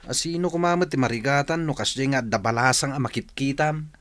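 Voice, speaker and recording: Earnest mid-pitched young lover acted by a man. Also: a little fast, fairly bright tone, no echo, lightly acted. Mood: cheerful, boastful. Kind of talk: radio drama acting.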